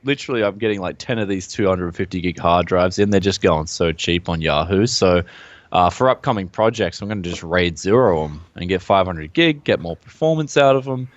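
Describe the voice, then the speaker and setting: A man, co-hosting a casual tech chat in a relaxed, rambling way. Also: brisk, no room sound. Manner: relaxed and rambling, pitch jumps up when excited. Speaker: co-host. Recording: dull, dry lo-fi sound.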